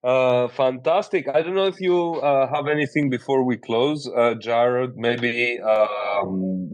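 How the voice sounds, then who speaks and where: A man with a Greek accent hosting a casual podcast chat, laughing often, swearing casually and filling pauses with "uh". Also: mid-range voice, dry recording. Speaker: host.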